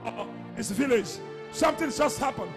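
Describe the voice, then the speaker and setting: A male pastor prophesying in a commanding, repetitive, shouting delivery. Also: very high voice, lively intonation, fairly quick, over music.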